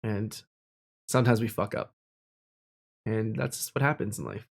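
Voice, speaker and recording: A man's voice: on the low side, steady tone, moderate pace, gravelly. A young man telling personal stories in a casual, candid and reflective way, speaking informally. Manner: candid, informal, with mild swearing.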